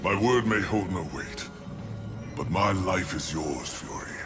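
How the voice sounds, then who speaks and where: A man's voice: low-pitched, unhurried, long reverb tail. An actor playing a humbled male angel who speaks in a solemn, grave way. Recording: cinematic game audio with music.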